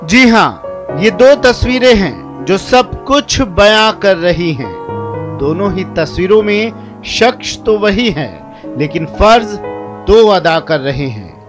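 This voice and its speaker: A man's voice: high-pitched, sing-song, slow pace. A man reading a scripted news feature as narrator. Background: loud music bed.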